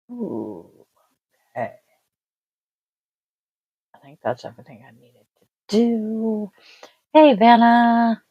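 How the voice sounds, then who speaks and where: Slow, tired, congested woman. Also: fairly high pitch, big pitch swings, slightly rough, no echo, highs cut off. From Washington State, US. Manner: tired, rambling, trailing off with sighs. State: congested, sniffly. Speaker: tarot reader and livestream host. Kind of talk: casual livestream chat.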